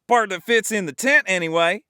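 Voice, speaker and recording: An actor playing a cheerful, shady weapons dealer in a comedic audio drama, with chummy, folksy sales patter. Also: male voice, high voice, normal speed, sharp tone, clear, resonant tone. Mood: sly, folksy.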